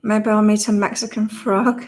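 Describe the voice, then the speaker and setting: A woman hosts a relaxed online reading session with a slight morning croak in her voice. She chats, laughs often and reads viewers' comments aloud before turning to the cards. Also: medium pitch, unhurried. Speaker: psychic reader and channel host. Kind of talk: casual livestream chat with card readings.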